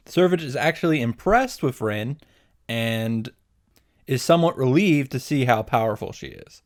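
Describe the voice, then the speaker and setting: A man's voice: medium pitch, lively intonation, normal speed. A man hosting a casual, scripted comedic recap, swearing freely and retelling things in an exaggerated, mocking way. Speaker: video host reacting to and summarizing a visual novel.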